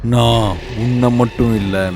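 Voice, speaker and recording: A man's voice: medium pitch, steady tone, slow, darkish tone, slight background noise, voice pushed down, close to an everyday voice. A man voicing a menacing male demon in a cartoon horror story, gloating and laughing cruelly.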